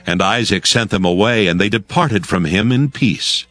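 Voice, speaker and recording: A man's voice: fairly low pitch, moderate pace, a bit bright. A man giving solemn, scripted narration of scripture as the narrator of an audio drama.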